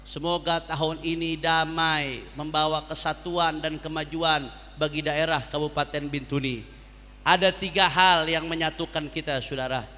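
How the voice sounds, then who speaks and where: A man's voice: fairly high pitch, fairly even intonation, normal speed, sharp tone, long reverb tail. A man preaching a sermon to a congregation as an impassioned orator, using repetition and rhetorical questions. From Jakarta, Indonesia. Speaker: Islamic preacher.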